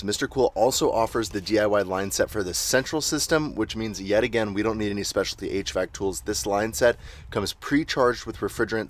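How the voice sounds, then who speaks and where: A man in his thirties casually narrating a home-build vlog, explaining technical details in an upbeat way, filmed on a close handheld camera. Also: lowish voice. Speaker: DIY home-build vlogger. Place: attic, outdoor deck and indoor rooms of a barn home. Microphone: handheld action camera close up.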